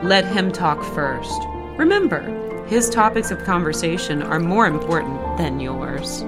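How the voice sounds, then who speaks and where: A woman voicing a prim, submissive 1950s housewife reciting magazine advice in a measured, instructive manner for an audio drama. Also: lowish voice, expressive. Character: prim 1950s good-wife guide reciter, submissive cheer. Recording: loud music over the voice. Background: loud music.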